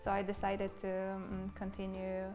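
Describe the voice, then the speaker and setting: A woman from Poland speaks in a Polish accent about becoming a full-time painter. Her delivery is earnest and very even in pitch, with small repeats as she searches for words. Soft music sits behind her. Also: moderate pace. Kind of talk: interview monologue.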